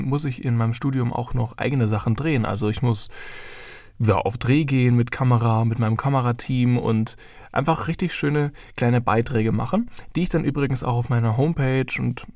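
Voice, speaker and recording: Young man, early twenties, podcast host, chatty, rambling, gravelly, dry close sound. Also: mid-range voice, darkish tone, no echo. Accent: German. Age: young adult. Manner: chatty, rambling, with many fillers. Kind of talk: casual solo podcast.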